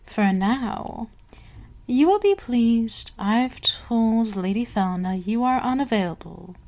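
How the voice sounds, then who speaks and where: Woman acting a slow, imperious, seductive vampire queen. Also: on the high side, expressive, darkish tone. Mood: commanding, menacing. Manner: slow, deliberate, haughty, commanding, dropping into whispers. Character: imperious, seductive, menacing vampire queen dominating her servant. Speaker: voice actor in audio roleplay. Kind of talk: ASMR roleplay acting.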